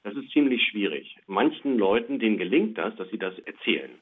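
Tinny phone line, low male voice, plain-spoken expert guest, simple explanations in an interview. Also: sing-song, fairly quick, husky. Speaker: child psychiatrist, expert guest. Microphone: telephone.

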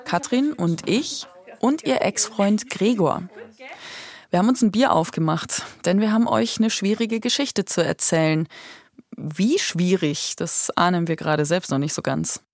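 Low-voiced woman narrating a podcast, dry and close. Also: animated, unhurried, no echo. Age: 30s. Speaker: podcast host.

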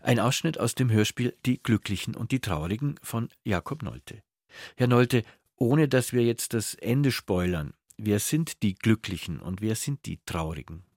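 Gravelly male radio host, dry studio sound. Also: lowish voice, expressive, a little slow, darkish tone, no echo. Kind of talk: radio interview presenting.

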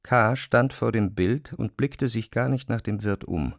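A man's voice: fairly low pitch, a little slow, vocal fry, no room sound, clean studio sound. A man narrating dramatised classic literature as a scripted storyteller.

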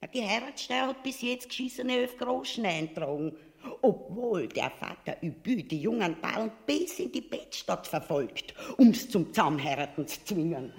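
A scornful, scheming wife in an absurd stage comedy. Her creaky voice swoops widely in pitch, coarse and mocking, with a Viennese accent. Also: expressive, normal speed, darkish tone. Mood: sour reporting. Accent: Viennese Austrian. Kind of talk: grotesque comic stage farce acting.

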